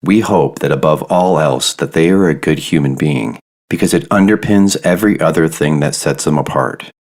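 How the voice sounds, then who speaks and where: A male podcast host reading a measured, reflective introduction. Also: a bass voice, darkish tone. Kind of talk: scripted introduction.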